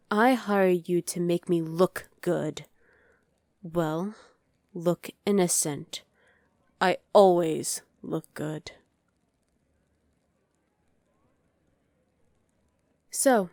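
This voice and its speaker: A woman's voice: on the low side, a little mellow, dry recording, clean studio sound. A woman voicing a dominant, haughty evil queen in an ASMR roleplay, imperious, sly and condescending. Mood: imperious, threatening.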